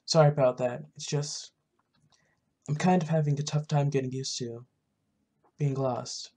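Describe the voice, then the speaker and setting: A gamer voicing a shy, apologetic young newcomer in a video game roleplay, halting and reserved. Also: mid-range voice, fairly even intonation, darkish tone, close to an everyday voice.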